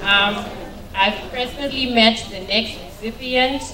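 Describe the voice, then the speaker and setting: A woman from Zimbabwe calls up award recipients at an event, speaking slowly and carefully with occasional fillers. Her voice is far from the mic in an echoey hall, buried under loud noise. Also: on the high side. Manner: slow, measured, with fillers. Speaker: awards presenter. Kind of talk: announcing awards.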